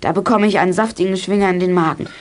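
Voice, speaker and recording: A woman's voice: lowish voice, steady tone, rapid-fire, natural voice. A woman playing a feisty, plucky young detective in a children's radio play, telling exploits in clipped telegram style. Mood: excited, boastful. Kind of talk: 1980s German children's radio play acting.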